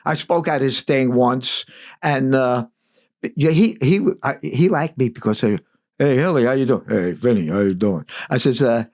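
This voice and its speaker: A man's voice: mid-range voice, moderate pace. An older man, telling rambling stories as a guest in a casual interview and acting out old conversations. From United States. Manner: rambling, animated, acts out dialogue. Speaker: guest musician.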